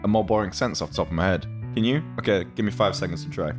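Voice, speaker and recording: A man's voice: sits low, racing, soft background music. A man co-hosting a casual comedic let's play, reading lines aloud and mocking them with dry, sweary humour. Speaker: let's player, co-host.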